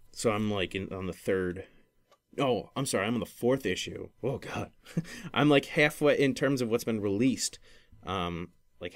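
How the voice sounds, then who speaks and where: Male podcast speaker, lowish voice. Informal and unscripted, halting delivery, works out his thoughts as he goes. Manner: rambling, halting, thinking aloud; informal, self-interrupting, profanity-laced. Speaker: podcast speaker, comic creator. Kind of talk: casual podcast discussion of storytelling.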